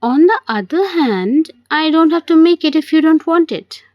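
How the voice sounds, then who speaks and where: A woman's voice: high-pitched, animated, normal speed, no room sound, lo-fi sound, voice pushed up. A woman reading the part of a spirited, teasing young fiancée in an amateur audio play. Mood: brisk.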